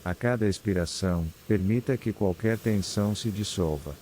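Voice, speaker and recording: Deep, mellow Brazilian man guiding meditation. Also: a little hiss or hum. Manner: calm, soothing, unhurried with long pauses. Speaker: meditation guide. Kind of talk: scripted guided meditation. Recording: clear background ambience of ocean waves. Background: sea waves ambience.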